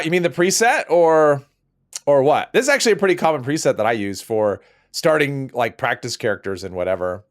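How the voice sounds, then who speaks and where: A man's voice: fairly high pitch, a bit bright. Guy livestreaming a game, bit sniffly, chatty and loose, voice jumps up and down a lot. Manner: chatty, reads out viewer names, laughs easily. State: a little sniffly. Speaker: game streamer. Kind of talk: casual livestream chat.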